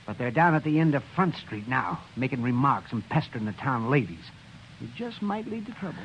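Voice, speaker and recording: An actor playing a crotchety frontier town doctor in a 1950s radio western. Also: male voice, medium pitch, big pitch swings, a little fast, lightly acted. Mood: grumbling. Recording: dull old radio with faint noise.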